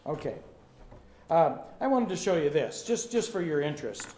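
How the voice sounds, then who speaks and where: Older pastor in a roomy hall. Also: male voice, on the high side, big pitch swings, mellow, a little hiss or hum. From United States. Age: 60s. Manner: friendly, plain-spoken, pausing for translation. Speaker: pastor and Bible teacher. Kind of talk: teaching through an interpreter in short phrases. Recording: roomy hall with faint ambience.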